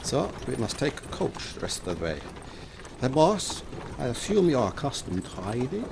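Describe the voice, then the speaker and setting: Grave, commanding professor played by a man for horror audio drama; formal orders, animated pitch, gravelly edge. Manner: formal, instructing, deliberate phrasing. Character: commanding male professor and vampire hunter, older, grave. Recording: audible background noise.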